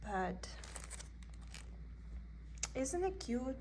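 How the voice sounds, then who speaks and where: A woman's voice: high-pitched, very animated, drawn-out words, background noise. Chatty woman with a Russian accent. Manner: chatty, meandering, thinks aloud. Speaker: cross-stitch vlogger. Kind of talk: casual show-and-tell haul. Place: indoors at a table.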